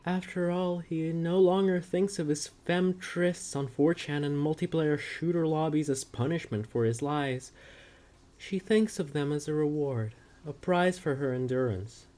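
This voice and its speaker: A woman giving a dramatic scripted narration of a comic, with literary, deliberate delivery. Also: low-pitched, warm tone.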